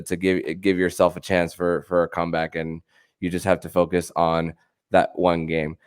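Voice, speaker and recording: Deep-voiced man with clear, smooth delivery. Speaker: sports podcast host. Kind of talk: conversational podcast hosting.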